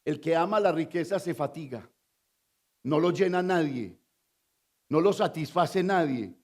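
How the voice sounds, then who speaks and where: A man from Colombia with a Colombian Spanish accent, preaching a sermon as pastor, quizzing the congregation and swinging between loud emphatic lines and low confiding asides. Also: animated, unhurried. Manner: call-and-response preaching, asks the congregation to fill in words.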